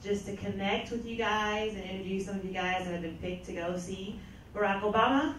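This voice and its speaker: A woman's voice: mid-range voice, slight background noise. A woman from a TV crew giving a friendly, rambling introduction in a hotel meeting room. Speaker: TV crew member. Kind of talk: introduction at event. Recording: very echoey room, distant mic.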